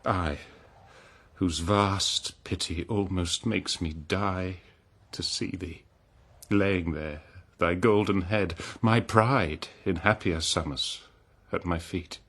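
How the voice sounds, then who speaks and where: A man playing a betrayed, noble king in a classical verse drama, solemn and declamatory, with long pauses. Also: low voice, fairly bright tone, full character voice. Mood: grave, sorrowful. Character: betrayed noble old-world king, anguished, forgiving husband.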